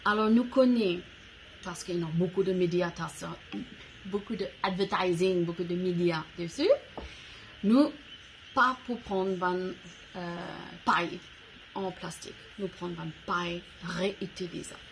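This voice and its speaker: A woman's voice: lowish voice, slight background noise, poor audio. A woman in her forties, the founder of an eco-products platform, speaking to camera on a garden veranda. She talks in a language that is not her mother tongue and searches for words. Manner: slow and deliberate, with pauses between short phrases. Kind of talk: prepared short address to camera. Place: covered veranda outdoors, garden greenery behind.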